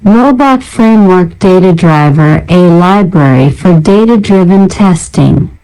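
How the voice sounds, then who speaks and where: Female narrator, lowish smooth voice, unhurried scripted read-out of technical names and short descriptions. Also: lively intonation, dark tone, rough recording. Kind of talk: scripted narration, reading out a software library list.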